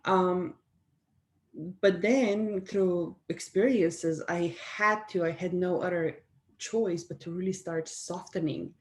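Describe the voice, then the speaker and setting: A middle-aged woman speaks English with a Slovenian accent, her voice sitting a little low for a woman. She talks reflectively, restarting phrases and laughing lightly as she shapes her thoughts. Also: a little mellow. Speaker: guest speaker. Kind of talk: conversational interview answer.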